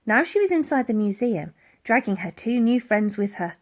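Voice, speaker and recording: Animated, high-voiced woman reading a children's story. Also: moderate pace. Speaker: audiobook reader.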